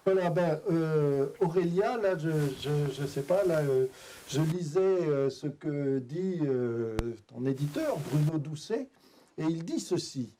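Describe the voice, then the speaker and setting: Moderator, middle-aged man. Slow, warm, mellow voice. Halting and full of fillers. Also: a little high, lively intonation, slight background noise, poor audio. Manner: slow, hesitant, many fillers. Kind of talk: round-table moderation.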